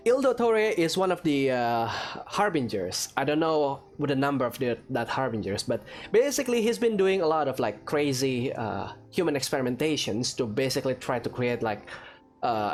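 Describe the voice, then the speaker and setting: A let's player giving casual, rambling reaction commentary over gameplay in a hesitant, conversational way. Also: mid-range voice.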